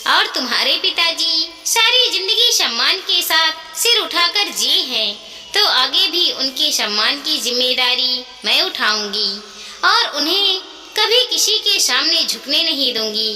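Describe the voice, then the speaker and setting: A woman's voice: sits high, lively intonation, a little slow, pushed and bright, soft background music, exaggerated voice. A woman voicing a proud, hurt elderly mother in an emotional, emphatic moral story. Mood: resolute, wounded. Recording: echoey reverberant room, clear background noise.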